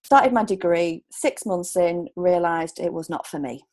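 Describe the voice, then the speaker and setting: A woman's voice: fairly low pitch, unhurried, a little mellow. A woman in her thirties from Hull with a Yorkshire accent, chatting casually as an interview guest and laughing often. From Hull, Yorkshire, England. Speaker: guest, relocation coach.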